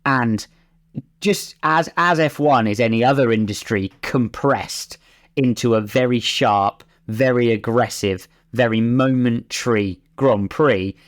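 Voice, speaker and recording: A man's voice: mid-range voice, measured pace. A man talking as a racing driver and pundit, giving conversational, discursive analysis in an emphatic way.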